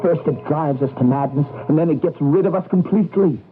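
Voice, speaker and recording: A man's voice: a little high, brisk, natural voice. An actor playing a defiant, impassioned male rebel with an urgent, emphatic delivery in a 1980s radio drama. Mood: defiant, rallying. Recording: tinny vintage radio broadcast, faint music behind.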